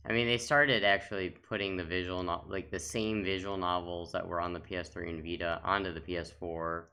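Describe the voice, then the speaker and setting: A man's voice: sits low, level tone, brisk, sharp tone, smooth. A man giving casual, off-the-cuff commentary as a gaming video host, talking in a rambling, reminiscing way.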